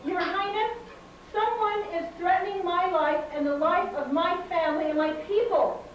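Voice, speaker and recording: A woman voicing an earnest young queen in an amateur puppet show, speaking formally and a little haltingly. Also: very high voice. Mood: pleading. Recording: echoey hall from far off, noisy.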